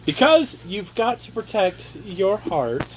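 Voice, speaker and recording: A man's voice: high voice, very animated, slow. A young man reading aloud to people he knows in a mock-earnest, sweary, jokey way, slipping into casual asides. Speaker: reader among his brothers. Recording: noisy amateur home recording.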